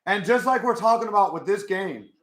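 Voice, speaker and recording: A young man with a hoarse voice, chatting casually with his live chat as he watches a football game. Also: high-pitched, animated, fairly quick, fairly bright tone, reverberant. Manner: chatty, swears, talks to chat. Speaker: live stream host.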